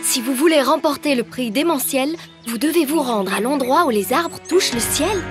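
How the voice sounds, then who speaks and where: A woman voicing a cheeky, contrary teenage girl prankster in an animated cartoon. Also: sits high, moderate pace, over music, lightly acted. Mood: eager. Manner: cheeky, exclamatory. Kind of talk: French animated cartoon dubbing.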